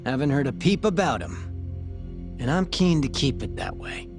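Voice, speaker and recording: A man's voice: on the high side, lively intonation, moderate pace. An actor playing a cagey, teasing male street informant in a video game cutscene, with slangy, sardonic delivery. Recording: music behind the voice. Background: music.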